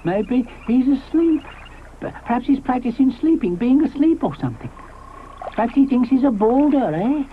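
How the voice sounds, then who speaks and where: A man voicing a fussy, panicky male ichthyosaur with a shrill, flustered voice in a storybook. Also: sing-song, moderate pace. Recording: tinny lo-fi with faint hiss.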